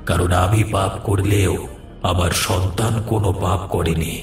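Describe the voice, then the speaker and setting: A man's voice: low-pitched, fairly even intonation, normal speed, lightly acted. A man voicing a menacing, commanding jinn, a restless spirit, in a horror cartoon. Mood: brooding. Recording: echoing voice over background music.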